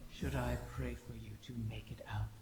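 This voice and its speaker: Man voicing a gravelly, echoing sardonic dragon. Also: mid-range voice, level tone, normal speed, natural voice. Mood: smug condescension. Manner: mocking, theatrical, condescending. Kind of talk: video game cutscene acting. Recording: long echoing reverb, hissy ambience.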